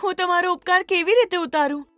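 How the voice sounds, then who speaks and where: A woman's voice: extremely high pitch, fast, sharp tone, soft background music. A woman voicing a kind, innocent young girl in an earnest, sweet way for a children's cartoon story. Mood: grateful, excited.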